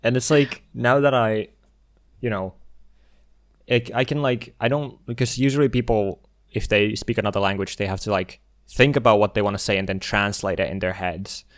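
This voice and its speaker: A young man whose first language is Finnish, hosting a podcast in relaxed casual chat, often restarting his sentences. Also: on the low side, normal speed, no echo, studio quality. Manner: relaxed, hesitant, many restarts and fillers. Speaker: podcast host.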